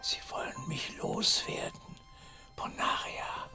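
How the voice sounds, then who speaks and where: An actor playing a weary, bitter, bedridden old father with a breathy, creaky voice in a radio drama. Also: slow pace, whispered, natural voice. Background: music.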